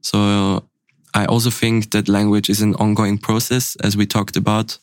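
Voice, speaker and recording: Flat, low-voiced young man. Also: normal speed, dry recording, studio quality. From Burgenland, Austria. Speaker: podcast co-host. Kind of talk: casual podcast conversation.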